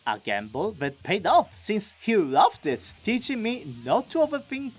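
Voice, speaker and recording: An enthusiastic man narrates a chatty recap in the style of an online creator. His pitch moves a great deal and his delivery is expressive. Soft music plays underneath. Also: unhurried, breathy edge. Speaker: video creator and amateur voice actor.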